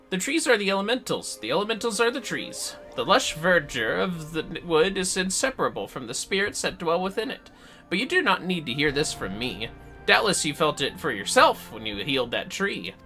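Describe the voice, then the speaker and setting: A man's voice: high voice, pushed and bright, voice pushed up, heavily acted. A man voicing a solemn, earnest forest mage from a fantasy game, reading dialogue aloud in a formal, archaic, theatrical manner. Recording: music behind the voice.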